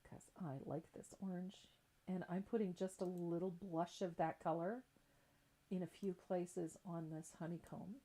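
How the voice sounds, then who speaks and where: A woman's voice: fairly low pitch, fairly even intonation, measured pace, dark tone, low-quality recording. A middle-aged woman giving a casual, chatty live craft tutorial in an informal way. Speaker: craft painting instructor and livestream host. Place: indoor craft table.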